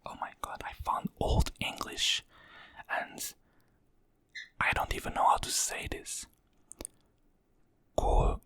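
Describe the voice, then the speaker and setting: Slow soft ASMR whisperer from Portugal. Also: no room sound. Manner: soft whispering, slowly repeating each phrase. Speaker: ASMR creator.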